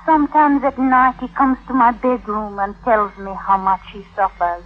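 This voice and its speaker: Lady acting posh-era victorian wife, demure but calculating, higher voice, thin tinny old radio sound. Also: steady tone, normal speed, natural voice. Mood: quietly knowing.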